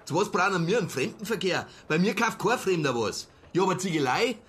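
An actor playing a grumpy, xenophobic Bavarian ex-butcher in a radio play, blustering and grumbling in dialect. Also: male voice, sits high, expressive, brisk, fairly bright tone, slight background noise. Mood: blustering, indignant. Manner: blustering, grumbling, rhetorical questions.

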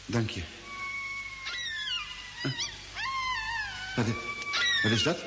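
An actor playing a bewildered, agitated astronaut lost on a strange planet, halting and questioning, in a Dutch radio drama. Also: male voice, medium pitch, wildly swooping pitch, loud music behind the voice, exaggerated voice.

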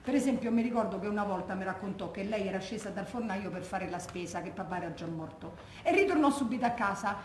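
An actress plays a Roman woman, the grieving and indignant daughter of a war widow, giving stage testimony. She speaks colloquially and emphatically in a Roman accent, at a medium pitch. The room echoes around her, with faint noise behind. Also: animated, fast, hardly any pauses, lightly acted. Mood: bitter recollection. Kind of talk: theatrical testimony monologue, colloquial storytelling.

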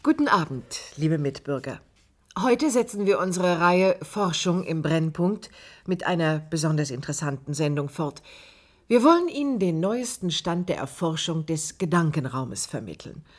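A woman's voice: sits low, big pitch swings, normal speed. Courteous, inquisitive female moderator. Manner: courteous, articulate, probing. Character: polite, inquisitive female science broadcast moderator. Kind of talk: radio play acting as a studio interview.

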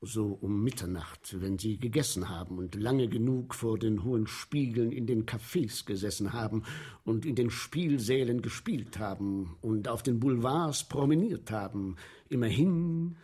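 An actor playing an eager, obliging guide to underground sewers in a German radio play, speaking in a declamatory, showy manner. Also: male voice, lowish voice, moderate pace, mellow, husky. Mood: brooding.

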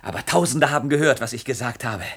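An actor playing a desperate, urgent fugitive husband and whistleblower in a German radio play. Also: male voice, mid-range voice, fast talker, fairly bright tone, echoey room, big-room sound, close to an everyday voice. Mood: breathless urgency. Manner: urgent, dramatic.

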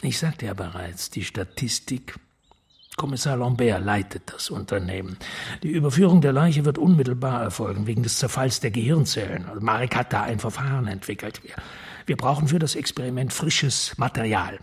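A man acting an urgent, persuasive official in a German radio crime drama, with a very husky, breathy voice. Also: medium pitch, lively intonation, brisk. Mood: insistent, urgent. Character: insistent official briefing a secret experiment.

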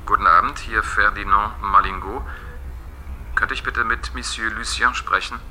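A man's voice: lowish voice, steady tone, normal speed, close to an everyday voice. An actor playing a polite man making a formal phone call in a radio drama. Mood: courteous. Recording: clear background noise.

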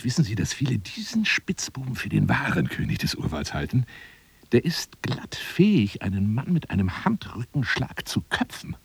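An actor playing a lonely, self-dramatizing hunter in a radio drama monologue, wooing a woman in a courtly, theatrical, husky voice that drifts into whispers. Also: mid-range voice, huge pitch swings, normal speed, full character voice. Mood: confiding, boastful. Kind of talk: radio drama monologue as one side of a phone call.

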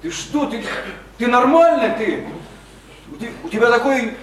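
An actor playing an awkward, evasive young man in a radio play, halting and stammering as he repeats his words. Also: extremely high pitch, animated, a bit bright, full character voice. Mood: flustered. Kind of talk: theatrical Russian radio play acting. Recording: echoey, distant stage sound with clear noise.

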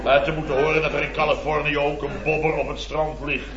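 A man's voice: a little high, little pitch movement, fast, a crowd in the background, natural voice. Actor as blustering married man, echoey old radio. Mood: sheepish, indignant. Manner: exasperated, rambling, mimics others mockingly. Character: blustering, indignant married building contractor telling weekend woes. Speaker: comic actor in a radio serial. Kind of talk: comic radio monologue, conversational anecdote telling. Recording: muffled old radio, echoey, audience laughter.

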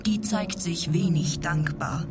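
A woman's voice: low voice, slow pace, heavy background noise. A woman doing scripted radio narration as a wry, dramatic storyteller of science-fiction disasters.

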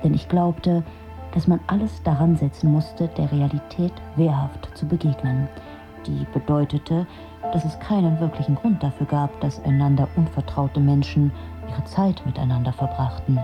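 A woman's voice: low-pitched, steady tone, moderate pace, highs cut off, over music. An actress playing a weary, detached, lonely woman who narrates her own story in a dry, introspective way for a radio drama. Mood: reflective. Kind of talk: literary first-person radio drama narration.

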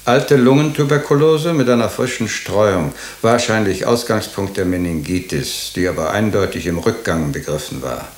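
An actor playing a matter-of-fact pathologist in a radio drama, reporting in a clipped, clinical way. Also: male voice, fairly low pitch, normal speed, few pauses, close to an everyday voice. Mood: dry, clinical. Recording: roomy echo.

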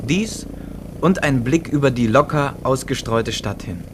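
A man's voice: medium pitch, lively intonation, measured pace, heavy background noise, natural voice. A man as a composed scene-setting narrator in a radio play, speaking in a plain, descriptive manner.

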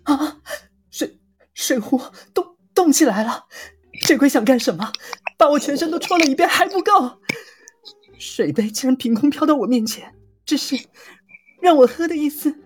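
A woman's voice: high voice, animated, normal speed, faint music behind, pitched above the performer's own voice. A woman voicing a flustered, indignant little game character in an audio drama, exclaiming and muttering complaints. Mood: frightened, baffled.

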